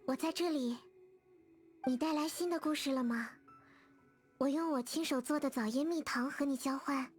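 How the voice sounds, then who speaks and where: Game casting: woman as small wise goddess child, very high-pitched, gentle and patient, music underneath. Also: moderate pace, long pauses, lightly acted. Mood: gentle, thoughtful. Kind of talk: video game character dialogue.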